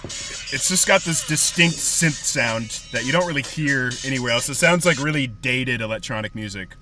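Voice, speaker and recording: A man hosts a podcast and asks his guest short, easygoing questions. His voice is bright and crisp at a middle pitch, with faint music playing behind him. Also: lively intonation, moderate pace. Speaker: podcast host. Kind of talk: casual interview chat.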